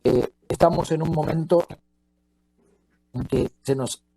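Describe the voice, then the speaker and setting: A man who is not from Costa Rica, moderating a public forum, halting and repeating words as he talks. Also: fairly high pitch, mellow.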